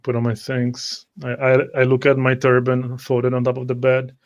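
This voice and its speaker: Flat, halting man narrating roleplay. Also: medium pitch. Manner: halting, repeats words. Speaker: tabletop player.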